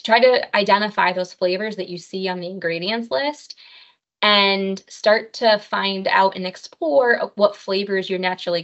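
A woman dietitian explains cooking tips in a friendly, practical manner, with plenty of "um" fillers. Her voice sits mid-pitch for a woman. It comes through a webcam on a video call, and the sound is slightly dull. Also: a bit bright. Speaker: dietitian teaching a cooking class. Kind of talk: online health class with slides.

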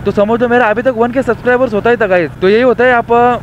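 Young man, high voice, casual rambling motovlog, dull helmet-cam audio, light road noise. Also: fairly even intonation, fast talker. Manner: chatty, rambling, mixes English in. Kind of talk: casual chat while riding. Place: on a motorbike on city roads. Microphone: helmet action camera. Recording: dull lo-fi camera audio with faint traffic noise.

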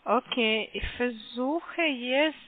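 A woman with a foreign accent, not a native German speaker, making a halting sales call as an agent over the phone. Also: on the high side, slight background noise. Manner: halting, hesitant, often checking with "ja". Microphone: telephone. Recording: muffled narrow phone line.

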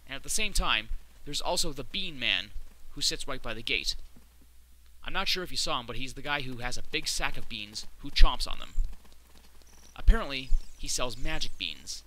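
A young man with a slightly hoarse voice from a cold, giving chatty, sarcastic commentary while playing a video game. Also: mid-range voice, expressive, moderate pace, poor audio. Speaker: let's play commentator. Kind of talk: casual gameplay commentary. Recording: loud game music under voice.

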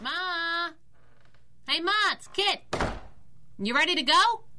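A woman playing a brash, wisecracking young working woman in a 1930s-style radio drama, slangy and quick-witted. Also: very high voice, expressive, over loud music, full character voice. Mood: bossy.

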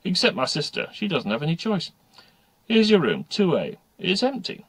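A man's voice: sits high, wildly swooping pitch, moderate pace, slightly rough, no echo, voice pushed up, exaggerated voice. A man voicing a brash, streetwise schoolgirl who talks back in a blunt, scornful, colloquial way.

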